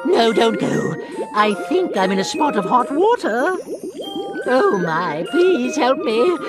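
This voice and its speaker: Female actor as helpless fruity creature, high voice, slow swooping delivery, cartoon dub, loud tinkly music behind. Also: big pitch swings. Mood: pleading. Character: dainty, fruity, helpless talking food character. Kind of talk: cartoon game fandub acting.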